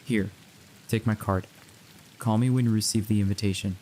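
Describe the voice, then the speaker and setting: A man's voice: lowish voice, steady tone, moderate pace, darkish tone, a little hiss or hum, natural voice. A man playing a reassuring, confident ally in an audio drama.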